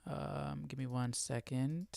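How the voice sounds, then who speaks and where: Clear-voiced man chatting casually on a livestream. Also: medium pitch, fairly even intonation, darkish tone. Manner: informal, halting, thinking aloud while setting up. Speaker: host of a live Bible study stream.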